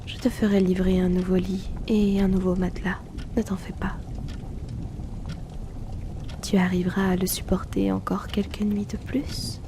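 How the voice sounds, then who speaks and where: A woman voicing an aloof, wealthy hypnotist in a whispered ASMR roleplay, speaking softly and teasingly into a close mic. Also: medium pitch, flat delivery, noisy background. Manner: soft teasing whisper, unhurried.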